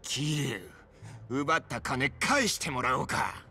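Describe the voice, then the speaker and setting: An actor playing a vengeful, threatening street thug in a video game drama. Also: male voice, medium pitch, expressive, slow, heavy background noise. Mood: menacing.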